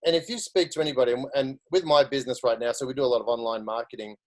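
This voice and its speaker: A man's voice: mid-range voice, steady tone, very fast, a little mellow. A middle-aged man with an Australian accent, chatting as a guest speaker about his career, often restarting his sentences. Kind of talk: casual career story.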